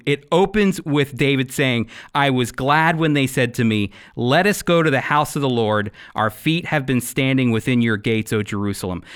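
A man hosting and teaching on a radio Bible program, speaking in an earnest, emphatic, sermon-like way. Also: mid-range voice.

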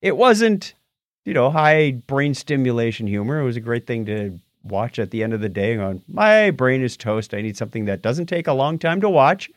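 A man's voice: mid-range voice, moderate pace, dry recording, studio quality. A middle-aged man co-hosting a long-running tech podcast in a casual, jokey chat. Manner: animated, jokey, reads out patron names. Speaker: podcast co-host.

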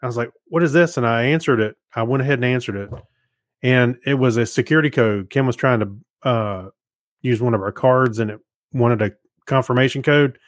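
A man's voice: mid-range voice, fairly quick, no room sound. Casual male co-host, dry sound. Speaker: podcast co-host. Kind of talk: casual chat.

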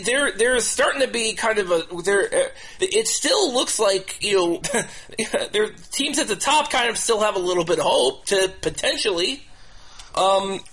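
A man's voice: high-pitched, animated, fairly bright tone, poor audio. A man hosting a casual sports talk show, repeating himself and restarting phrases as he goes. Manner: halting, repetitive, restarts phrases over and over. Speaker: podcast host.

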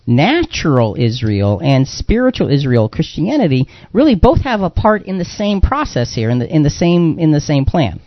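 A man with a fairly high voice hosts a religious talk show on radio. He explains things conversationally, often repeating a phrase to stress it. The sound is close and dry, slightly dulled. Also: wildly swooping pitch, moderate pace, few pauses, no room sound, dull audio. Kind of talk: live religious radio discussion.

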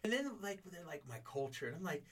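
Bright, slightly high male co-host. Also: quick pace. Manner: animated, joking, slangy. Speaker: podcast co-host, teacher. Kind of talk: casual podcast chat.

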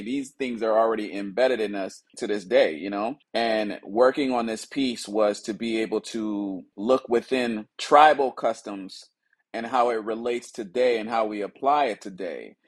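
A man with a fairly low voice speaks as an artist presenting his own work. He comes from Liberia and was raised in the United States. His delivery is unscripted and reflective, with emphatic phrases and conversational asides. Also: unhurried. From Liberia, West Africa, raised in the United States. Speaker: contemporary mixed-media artist. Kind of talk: explaining own artwork, unscripted artist talk.

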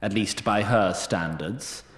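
An actor playing a haughty storyteller who narrates a fantasy audio drama in an arch, formal, wry manner. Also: male voice, on the low side, slow, echoey room.

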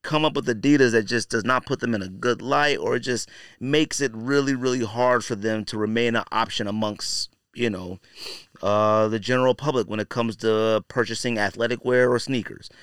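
Male podcaster, smooth and clean tone, dry close sound, casual slangy opinion delivery with blunt emphasis. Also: medium pitch, normal speed, fairly bright tone, no room sound. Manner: conversational and slangy, frequently saying "you know" and "man". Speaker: podcast host.

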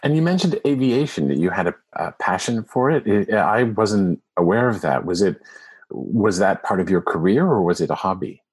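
Male podcast-style interview host, deep register with a rough husky edge, asking questions conversationally. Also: animated, moderate pace.

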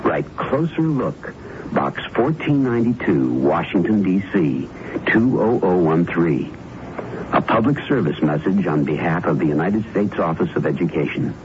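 Deep-voiced earnest male radio announcer. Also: expressive, moderate pace. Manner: earnest, rhetorical, direct. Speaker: public service announcer. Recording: muffled, noisy old radio broadcast.

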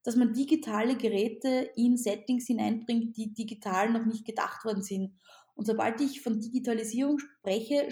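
Austrian-accented female teacher, non-native, higher voice, roomy echo, lively interview answers. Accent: Austrian German. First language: not German. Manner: animated, repeats words and restarts.

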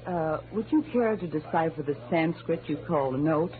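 A woman playing a sultry, sharp-tongued nightclub singer in a 1940s radio drama. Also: low-pitched, lively intonation, moderate pace, narrow, tinny audio. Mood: cool, teasing. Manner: cool, sardonic. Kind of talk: 1940s radio noir acting. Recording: thin, noisy old radio recording.

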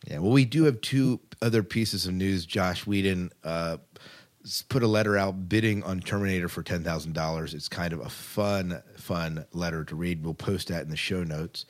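A man's voice: low voice, moderate pace. A man hosting a podcast in a casual, chatty style. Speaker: podcast host.

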